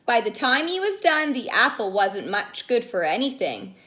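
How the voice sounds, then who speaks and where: A woman reading stories aloud to young children in an expressive, sing-song way, prompting them as she goes. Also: fairly high pitch, moderate pace. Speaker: children's story reader and caregiver.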